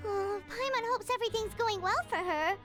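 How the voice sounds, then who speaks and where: Squeaky, perky tiny fairy girl. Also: moderate pace. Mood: concerned, curious. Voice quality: squeaky, childlike. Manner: perky, eager, refers to self in third person. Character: tiny cheerful childlike fairy companion girl. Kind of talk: video game voice acting. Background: music.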